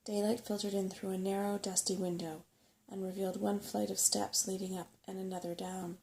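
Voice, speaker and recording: Soft-spoken woman with a creaky edge. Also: mid-range voice, level tone, brisk, low-quality recording. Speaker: storybook narrator and ASMR host. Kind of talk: soft-spoken ASMR bedtime story reading.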